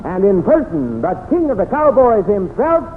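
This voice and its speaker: A man's voice: high voice, huge pitch swings, normal speed, slight background noise. An American man announcing an old-time radio show in a grand, declamatory style, drawing out the names. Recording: tinny old radio recording with music.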